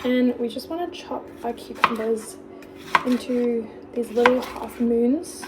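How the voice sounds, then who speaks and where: A young woman talking casually through her cooking with a slightly hoarse, croaky voice, full of "like" fillers. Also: fairly high pitch, a little slow, very noisy. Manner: chatty, lots of "like" fillers. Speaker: vlogger.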